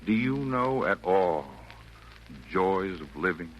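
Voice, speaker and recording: A man's voice: deep voice, sing-song, slow pace, heavily acted. An actor in a 1940s radio play voicing a kindly, jolly old man with genial, lilting, theatrical delivery of rhymed verse. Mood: jolly, wistful. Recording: noisy vintage 1940s radio broadcast.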